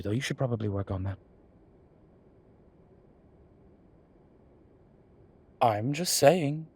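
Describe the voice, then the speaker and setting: Husky, low-voiced vampire gentleman, acted by a man. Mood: wry, sulky. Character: male vampire deacon, fond, teasing, exasperated, protective, dryly witty. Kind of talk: ASMR roleplay audio drama addressed to the listener.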